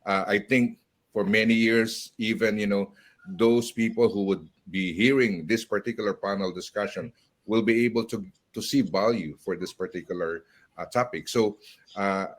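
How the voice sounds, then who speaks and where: A man moderates a panel, handing off the floor and asking questions politely. His voice is a bit low. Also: moderate pace.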